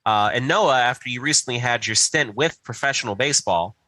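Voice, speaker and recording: A man's voice: mid-range voice, a little fast, pushed and bright, no echo. An American man from Pittsburgh telling casual anecdotes as a podcast co-host. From Pittsburgh, USA. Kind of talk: casual chat, storytelling.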